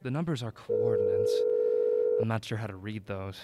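A man's voice: fairly low pitch, normal speed, heavy background noise, natural voice. An actor playing an agreeable, dry-witted man, a sidekick amateur sleuth, in a comic audio drama. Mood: intent. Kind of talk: comedic audio drama acting.

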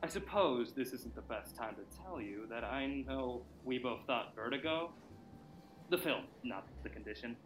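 A man playing a playful, excitable partner on a mystery hunt in a naturalistic audio drama, bantering and punchy. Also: on the high side, a little hiss or hum, rough recording.

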